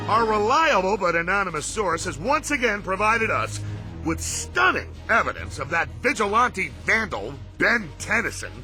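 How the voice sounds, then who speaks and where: A man's voice: high-pitched, sharp tone, heavily acted. A man playing a pompous, alarmist TV news pundit in a cartoon video game, ranting in declamatory style.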